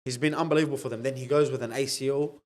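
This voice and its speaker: A man's voice: medium pitch, steady tone, very fast. An Australian man hosting a podcast in casual sports banter, with a slangy, emphatic way of talking. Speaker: podcast host.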